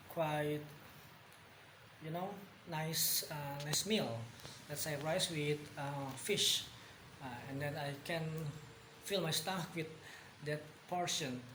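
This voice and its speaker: Young Indonesian-accented man, casual vlogger, slow and halting, recorded in a roomy, noisy space. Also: fairly high pitch. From Bandung, West Java, Indonesia. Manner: hesitant, many ums and pauses. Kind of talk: casual spoken vlog. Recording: echoey room with background noise.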